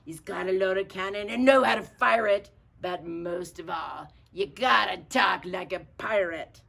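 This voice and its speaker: A bossy, blustering captain played by a woman in a children's storybook. The voice is gravelly and forceful, declaiming impatiently in slang. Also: mid-range voice, measured pace. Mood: commanding. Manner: shouting, declaiming in pirate slang. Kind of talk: children's storybook read-aloud.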